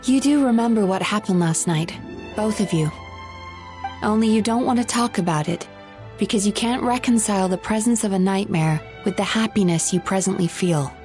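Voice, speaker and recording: A composed female dream therapist, played by a woman who speaks in a measured, probing, gently firm way. Her voice sits at an ordinary middle pitch, with faint game music underneath. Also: lightly acted.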